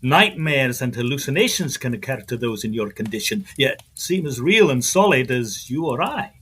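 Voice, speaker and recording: An actor playing an incredulous, rational doctor in a period audio drama, formal and emphatic, with a husky voice. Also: male voice, medium pitch, animated, normal speed, fairly bright tone. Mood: skeptical, insistent. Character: incredulous, rational doctor arguing with his patient.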